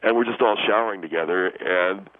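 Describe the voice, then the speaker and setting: Man acting vain young beach hunk, low voice, boastful and earnest, hesitant, radio call-in comedy, dull lo-fi sound. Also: brisk, lightly acted. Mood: smug boasting. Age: young adult.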